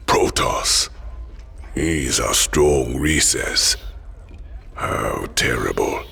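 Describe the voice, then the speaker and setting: An actor playing a brooding, cryptic psychic outlaw in a video game, with a Caribbean accent and a gravelly, ominous way of speaking. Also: male voice, a bass voice, bright, forceful tone, full character voice. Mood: grave, mystical. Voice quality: gravelly, often breathy. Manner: slow, ominous, knowing. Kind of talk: video game cutscene acting. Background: music.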